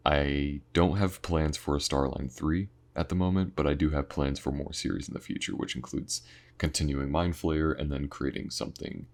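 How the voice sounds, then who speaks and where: A man with an extremely low voice and a heavy crackle of vocal fry speaks casually to his audience. His delivery is informal and earnest, halting as he searches for words, like a creator thanking the people who follow his work. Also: fairly even intonation. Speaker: voice actor and audio series creator. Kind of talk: casual thank-you talk to viewers.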